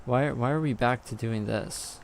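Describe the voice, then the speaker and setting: A man in his twenties with a gravelly smoker voice, chatting casually on a live coding stream and answering viewers between compiles. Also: mid-range voice, moderate pace, slight background noise. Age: 20s. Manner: muttering, distracted, answers chat questions. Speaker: game engine developer streaming.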